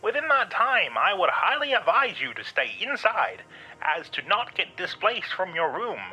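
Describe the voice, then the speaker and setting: A man performs a theatrical hotel radio host for an audio drama. The voice is very sharp and husky, swooping up and down in slow, showy announcer phrases over music. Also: high-pitched, sing-song, full character voice, rough recording. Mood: showy, welcoming. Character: radio host spirit of an otherworldly hotel, smooth showman announcer.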